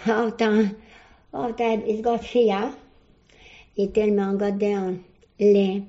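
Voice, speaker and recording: An older woman from Croatia, a native Croatian speaker with a Croatian accent, answering interview questions in halting broken English and often searching for words. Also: medium pitch, level tone, mellow. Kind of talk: oral history interview. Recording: dull lo-fi recording.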